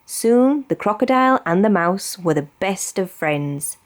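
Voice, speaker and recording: Animated British woman reading a children's story. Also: medium pitch. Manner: expressive, deliberate phrasing. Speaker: storybook reader. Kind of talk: scripted children's story narration.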